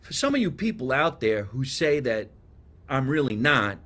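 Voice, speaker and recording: A man from New Jersey, speaking with its accent, hosts a casual live show and chats loosely with viewers. His delivery is rambling and animated, with a mid-range voice. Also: medium pitch, normal speed. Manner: chatty, rambling, animated storytelling. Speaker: podcast host. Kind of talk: casual live chat, reading viewer comments.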